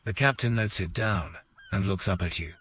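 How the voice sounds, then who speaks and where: An actor narrating a fantasy audio game as a calm, measured male storyteller. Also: deep voice, husky. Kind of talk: scripted narration.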